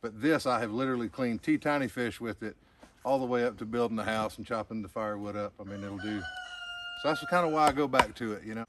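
A man's voice: mid-range voice, quick pace, slight background noise. Plainspoken older man in the woods. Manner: plainspoken, folksy, demonstrating tools. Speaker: outdoors skills guest. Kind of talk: casual outdoor chat. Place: wooded yard outdoors.

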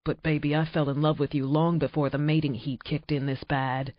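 A woman voicing a husky-toned, possessive shapeshifter lover, low and hushed, for an audiobook; dry, slightly muffled sound. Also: flat delivery, brisk, no echo. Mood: tender. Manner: low hushed passionate delivery. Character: intense possessive shapeshifter man, deep-voiced lover.

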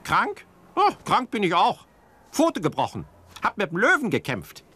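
A cocky fox from a children's audio play. His pitch leaps wildly, and he brags in clipped phrases with slow, swaggering timing. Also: huge pitch swings, sharp tone, breathy edge, full character voice. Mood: boastful. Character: cocky, boastful male fox.

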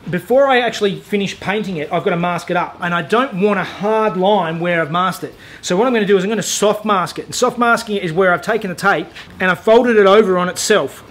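A man in his thirties with an Australian accent, showing a car body repair in his garage workshop, relaxed and practical with many "uh" fillers. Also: high voice, fast talker, few pauses. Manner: relaxed, practical, self-deprecating, lots of "uh" fillers. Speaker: DIY car repair host. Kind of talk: hands-on workshop tutorial with voice-over. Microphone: lapel mic.

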